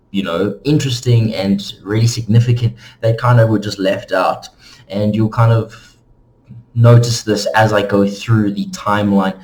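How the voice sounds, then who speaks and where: A man's voice: lowish voice, a little mellow, poor audio. A man hosting an informal explainer, talking casually and conversationally to his viewers as he narrates. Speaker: host of a game lore channel.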